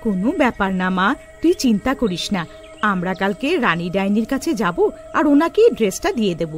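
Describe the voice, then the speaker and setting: Woman as a humble witch mother, quick and deferential. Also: medium pitch, expressive, soft background music, voice pushed down, lightly acted. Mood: reassuring. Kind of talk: children's cartoon story acting.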